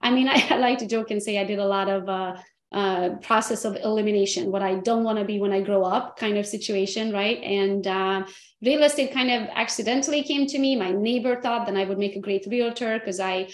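A woman in her forties with a Romanian accent, a guest telling her story on a video call in a lively way. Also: medium pitch, a little fast, echoey room. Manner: lively storyteller, laughs often. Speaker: guest, real estate agent. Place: home office with pink neon.

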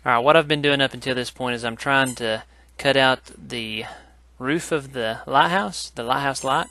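A man's voice: mid-range voice, normal speed, sharp tone. A man narrating a hands-on craft tutorial in a casual, instructional style. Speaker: woodcarving tutorial host.

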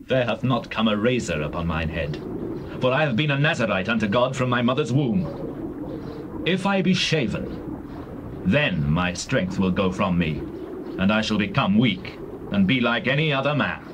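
An actor playing a proud, doomed biblical warrior with solemn theatrical delivery in a dramatized scripture reading. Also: male voice, on the low side, sing-song, normal speed. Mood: confiding. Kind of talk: dramatized King James Bible reading. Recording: noisy old cassette recording.

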